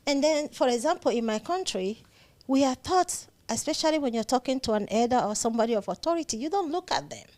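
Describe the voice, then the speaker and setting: A woman's voice: high voice, expressive, fast talker, a little mellow. A woman with a Nigerian accent speaking as an interview guest, earnest and emphatic, often repeating phrases to stress them. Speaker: psychiatric nurse practitioner, interview guest. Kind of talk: conversational interview.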